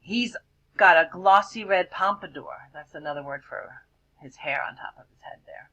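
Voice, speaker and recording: A woman teacher reading a picture book aloud to children, expressively, stretching words and dropping into hushed explanatory asides. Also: on the low side, normal speed, low-quality recording. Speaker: elementary school teacher.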